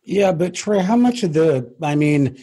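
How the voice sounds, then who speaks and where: A man's voice: fairly high pitch, expressive, measured pace, warm tone, slightly rough. An American man hosting an interview in a conversational, informal way, often restarting and adding personal asides. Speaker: interview host.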